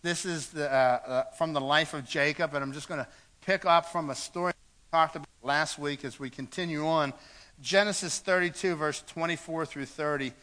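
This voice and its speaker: A man's voice: a little high, moderate pace, sharp tone. A man preaching a sermon in a church, earnest and repeating words as he goes, reading scripture aloud. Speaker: pastor.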